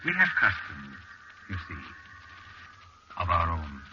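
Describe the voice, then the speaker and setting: An actor playing a sinister, smoothly polite captor with a formal, measured, smiling delivery, in vintage radio horror style. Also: male voice, low-pitched, huge pitch swings, full character voice. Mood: politely menacing. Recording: dull, noisy vintage radio recording.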